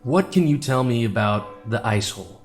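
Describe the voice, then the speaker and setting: Male actor as courtroom lawyer: medium pitch, formal, skeptical, probing. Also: lively intonation, normal speed, soft background music, close to an everyday voice. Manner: formal questioning. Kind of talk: courtroom audio drama.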